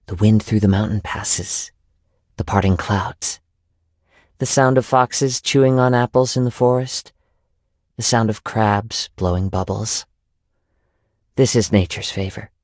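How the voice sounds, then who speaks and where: A young man playing a gentle, poetic wandering samurai in a video game, soft-spoken and reflective, with formal, measured phrasing. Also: fairly low pitch, no room sound, studio quality. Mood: calm, modest. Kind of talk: video game character voice lines.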